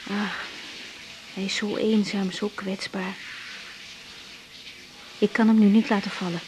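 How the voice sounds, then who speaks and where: Actress as a gentle young woman doctor. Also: medium pitch, little pitch movement, a little slow, a little hiss or hum, lightly acted, rough recording. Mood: troubled. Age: young adult. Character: young woman doctor, tender lover in private, patient at work. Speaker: actress in a radio drama. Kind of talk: 1980s Dutch radio drama acting.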